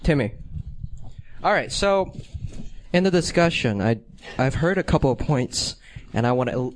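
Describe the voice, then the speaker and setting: A man's voice: medium pitch, animated, a little hiss or hum. A man from Hong Kong, an audience member, making a long earnest comment with many restarts. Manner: halting, repeats words, earnest. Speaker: audience member asking a question. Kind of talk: rambling call-in style comment.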